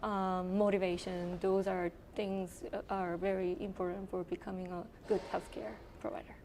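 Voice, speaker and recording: Korean-accented woman in her thirties, mid-pitched, thoughtful and hesitant with frequent fillers; faint room hiss. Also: level tone, normal speed. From South Korea. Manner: thoughtful, with frequent ums and you-knows. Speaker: internal medicine resident. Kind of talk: interview answers.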